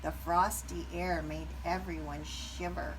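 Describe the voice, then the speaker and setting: A woman narrating a children's story aloud with expressive, deliberate phrasing. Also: on the low side, distant mic. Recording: background noise.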